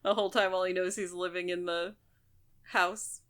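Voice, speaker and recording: A woman's voice: on the low side, level tone, moderate pace, fairly bright tone. Casual, blunt woman podcaster. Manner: informal, blunt, speculative. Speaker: podcast co-host. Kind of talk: casual book discussion.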